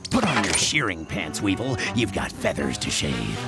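Very low menacing poacher. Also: male voice, huge pitch swings, normal speed, full character voice. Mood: gloating, threatening. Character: big menacing swaggering male jungle poacher. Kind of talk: exaggerated cartoon voice acting. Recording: loud music underneath.

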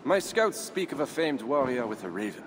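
An actor playing a proud, defiant Frankish count in a video game cutscene, measured and haughty. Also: male voice, mid-range voice, natural voice. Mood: wary, haughty. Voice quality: measured, haughty, lively delivery. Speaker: Frankish nobleman. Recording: game audio with clear ambient noise.

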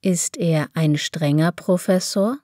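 Woman, lesson reader, slow careful delivery, low warm voice. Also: no echo, studio quality. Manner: reads every part of the dialogues herself, pausing between phrases. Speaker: German reader for a language lesson. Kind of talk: slow, clearly spaced phrase reading.

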